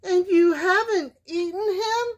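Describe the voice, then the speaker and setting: A woman's voice: very high-pitched, measured pace, dull audio, pitched above the performer's own voice. A woman voicing an indignant, easily panicked mother duck in a children's storybook, with exclaiming cries. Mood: suspicious.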